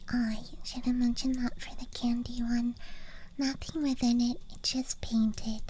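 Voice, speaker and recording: A woman doing a whispered ASMR show-and-tell, murmuring softly and breathily to the listener in an unhurried way, as the host. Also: a little high, poor audio. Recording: faint background noise, outdoor night ambience. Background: faint rural night ambience sounds.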